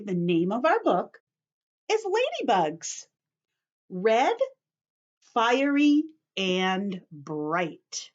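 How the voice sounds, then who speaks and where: Slow, lively woman teacher reading to children. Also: a little high, dull audio. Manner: instructive, addresses children with questions and simple repetition. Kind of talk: children's story-time read-aloud with simple explanations.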